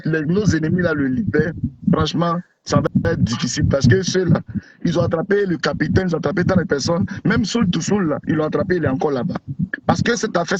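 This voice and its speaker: A man's voice: high-pitched, breathy edge, dry recording. A man, an outspoken blogger talking emphatically and repeating himself as an interview guest over a call. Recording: dull lo-fi call audio with noise.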